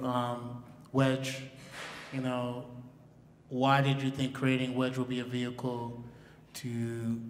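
A man moderating a panel discussion, asking even, measured questions. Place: large hall on stage. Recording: echoey hall with faint rumble.

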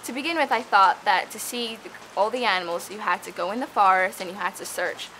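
A woman's voice: medium pitch, rough recording. A young woman from the Dominican Republic, a student researcher, talking eagerly to camera in a casual interview outdoors among tropical plants. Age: young adult. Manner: eager, bubbly, quick restarts. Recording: steady rain noise behind.

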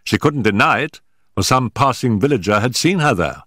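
Dude doing sharp brisk detective, crisp and emphatic, dry close audio. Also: male voice, mid-range voice, lively intonation, no room sound, voice pushed up. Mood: brisk, reasoning.

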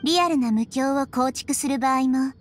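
A woman voicing a high-pitched, wise little girl deity. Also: slight background noise, lightly acted. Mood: calm, explanatory. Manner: measured, explanatory, thoughtful. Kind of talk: Japanese anime game voice acting. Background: background music.

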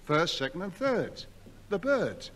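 High, sharp-voiced Cockney wide boy. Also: sing-song, takes it slowly, exaggerated voice. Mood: breezy. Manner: chirpy, slangy patter. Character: cocky Cockney wide-boy trader, breezily crooked. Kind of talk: 1960s radio sitcom acting.

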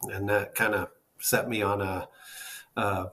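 A man's voice: lowish voice, level tone, measured pace, sharp tone. A man in his fifties telling stories casually, often searching for words, on a video call from home. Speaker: guest jazz bassist. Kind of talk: casual storytelling in a panel interview. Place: small room at home, indoors. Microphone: laptop or webcam video call.

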